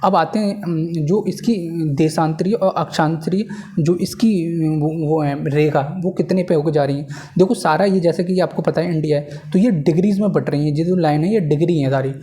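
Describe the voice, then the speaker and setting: A man's voice: a little high, moderate pace, mellow. A man teaches in an informal lecture style, repeating key points and often checking that listeners follow. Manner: repetitive, checking understanding with tag phrases. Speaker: teacher for exam preparation. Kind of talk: informal lecture explaining at a board.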